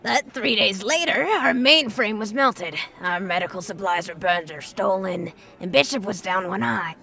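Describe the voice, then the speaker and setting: A grumpy ghoul woman, centuries old, voiced by an actress for an audio drama. Her voice is full of gravel and has a sharp, forceful edge. She talks bluntly, with dry sarcasm. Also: mid-range voice, expressive, normal speed. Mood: grim, matter-of-fact. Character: grumpy, sardonic irradiated ghoul woman, nearly 250 years old.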